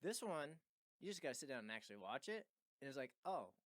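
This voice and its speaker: A man's voice: medium pitch, sing-song, fast talker, no echo. A man guesting on a casual movie podcast in a home studio, easygoing and joking, with frequent laughs. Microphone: studio microphone.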